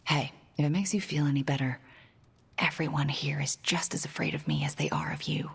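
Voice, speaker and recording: An actress playing an uneasy, guarded ally in a video game, speaking hesitantly with hushed phrase endings. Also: female voice, low-pitched, sing-song, a little fast, creaky, husky, exaggerated voice. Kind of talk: video game cutscene acting.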